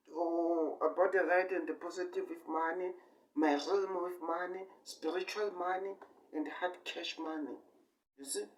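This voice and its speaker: A man in his twenties giving a rambling improvised monologue in halting, repetitive short phrases with sudden emphatic bursts. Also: sits high. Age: 20s. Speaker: amateur online speaker. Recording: distant microphone.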